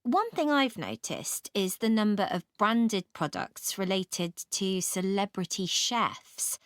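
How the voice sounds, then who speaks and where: A woman playing a student in a scripted, measured discussion, giving her opinions deliberately. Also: mid-range voice, sing-song, no echo, studio quality. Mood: opinionated. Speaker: voice actor in a listening exam dialogue.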